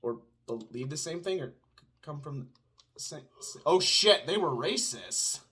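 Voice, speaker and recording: A man's voice: a little high, expressive, measured pace, bright, forceful tone. A man with a pronounced Southern US twang, ranting sarcastically at home in a casual chat with his wife. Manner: sarcastic, ranting, answers his own mock questions. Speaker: podcast co-host.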